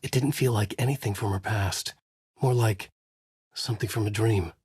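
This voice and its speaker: A man's voice: fairly low pitch, moderate pace, a little mellow, breathy edge, dry recording, clean studio sound. A man playing a haunted, brooding writer hero in a video game, speaking intense noir lines in short clipped phrases. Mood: grim. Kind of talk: noir scripted narration and dramatic game dialogue.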